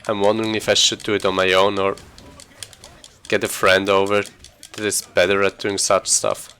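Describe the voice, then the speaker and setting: A man's voice: fairly low pitch, flat delivery, moderate pace, pushed and bright, clean tone. A man giving casual, rambling live commentary as he speedruns a video game, thinking aloud as he plays. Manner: rambling, informal, thinking aloud while playing.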